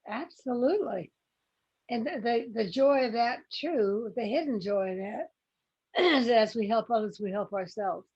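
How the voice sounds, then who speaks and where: Woman, group facilitator, reflective, encouraging, fairly high pitch, slightly muffled lo-fi sound. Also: animated, a little slow, a little mellow, slightly rough. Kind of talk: reflective spiritual group talk. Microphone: video call. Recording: slightly dull call audio.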